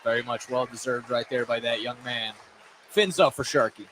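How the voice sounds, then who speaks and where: A man's voice: mid-range voice, fairly even intonation, moderate pace, fairly bright tone. A man giving casual color commentary on a live sports radio broadcast from a gymnasium. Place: indoor school gymnasium. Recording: noisy gymnasium background.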